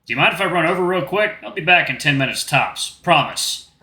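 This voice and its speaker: A man's voice: a little high, expressive, a little fast, sharp tone, voice pushed up. A man voicing a protective, secretive male companion in a visual novel, reassuring and matter-of-fact. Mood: persuasive. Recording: roomy echo with music behind.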